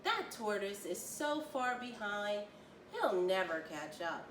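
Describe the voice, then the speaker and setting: A woman's voice: medium pitch, very animated, slow, fairly bright tone. A woman voicing a cocky male hare from a children's storybook. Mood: cocky, breezy. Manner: boastful, breezy. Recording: roomy, distant mic, faint noise.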